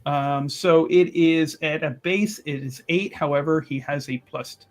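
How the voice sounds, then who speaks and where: A man's voice: a little high, measured pace. A man playing a tabletop roleplaying game, improvising casually with wordy, playfully formal turns of phrase.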